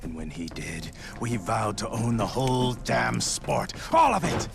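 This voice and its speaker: A menacing, bitter villain with a gravelly, fairly low voice. He speaks emphatically in video game style, with noise behind him. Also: sing-song, measured pace, heavily acted. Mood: bitter, vehement. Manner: emphatic, vengeful.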